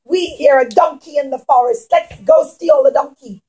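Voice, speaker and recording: A woman voicing wild, vicious pirates in a children's story, loud, commanding and emphatic. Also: sits high, normal speed, close to an everyday voice. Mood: rough, commanding. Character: wild, vicious male pirates, bossy and prowling.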